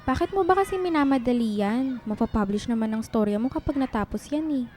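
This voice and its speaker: A woman's voice: a little high, lively intonation, a little fast, dark tone, close to an everyday voice. A woman playing a teasing, supportive young best friend in radio drama dialogue, chatty in manner. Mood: nosy, caring.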